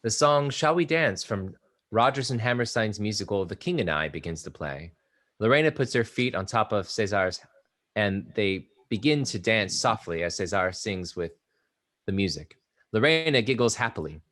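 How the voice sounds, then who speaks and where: A man's voice: fairly low pitch, lively intonation, moderate pace, a bit bright. A man reading stage directions as the narrator of a play, in a scripted narration style.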